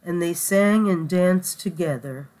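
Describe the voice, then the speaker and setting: A woman's voice: on the low side, unhurried, darkish tone, low-quality recording. A woman reading a children's picture book aloud as a storyteller. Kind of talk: slow scripted picture-book narration.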